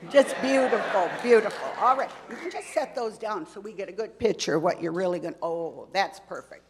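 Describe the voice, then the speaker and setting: A woman's voice: medium pitch, animated, fairly quick, husky. A woman playing a chatty, teasing church lady in a comedy skit, speaking with a put-on drawl in a church. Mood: playful teasing. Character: folksy Texan church lady, gift-giving and teasing. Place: church sanctuary. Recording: reverberant church hall.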